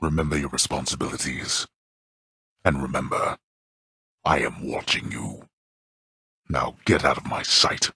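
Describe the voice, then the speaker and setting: Game casting: stern god of the underworld, bottomless bass with a husky rasp, imperious, disdainful, archaic in diction. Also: male voice, fairly even intonation, measured pace, pauses often, sharp tone, dry recording, heavily acted. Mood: cold reprimand. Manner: haughty, scornful, archaic formal diction. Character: stern, contemptuous god of the dead, imperious father. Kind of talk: video game character voice acting.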